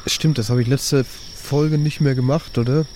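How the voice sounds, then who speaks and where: A man's voice: medium pitch, steady tone, normal speed, warm tone, slight background noise. A man chatting casually as a player in an improvised tabletop roleplaying game. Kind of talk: casual improvised in-character chat.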